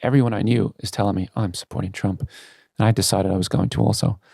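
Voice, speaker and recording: A man's voice: fairly low pitch, fairly even intonation, quick pace, gravelly, dark tone, no room sound, studio quality. A man speaking as a CEO guest in a candid podcast interview, earnest and emphatic about his opinions.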